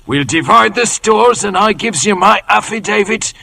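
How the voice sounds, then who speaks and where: Sly old-radio boss, high gravelly voice, talk, swoops all over. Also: male voice, lively intonation, normal speed, pushed and bright, slight background noise, heavily acted. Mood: bargaining, sly. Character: one-legged pirate leader, sly, wheedling, menacing. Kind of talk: 1950s radio drama acting.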